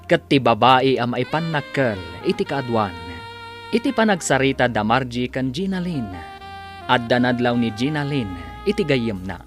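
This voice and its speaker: A man's voice: medium pitch, expressive, moderate pace. Ilocano guy announcing a radio soap, dramatic swoopy delivery, music in the back. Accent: Ilocano Filipino. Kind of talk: scripted dramatic narration.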